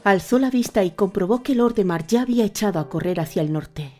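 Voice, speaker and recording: A woman's voice: mid-range voice, lively intonation, a little fast, dark tone, soft background music. A woman narrating a fantasy audio drama in a scripted, dramatic storytelling style.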